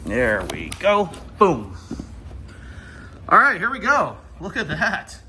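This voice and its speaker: A man's voice: high voice, huge pitch swings, slow pace, bright, forceful tone, slight background noise. An American man giving casual hands-on unboxing commentary in an informal, enthusiastic way. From Ohio, United States. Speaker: vlogger. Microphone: handheld camera.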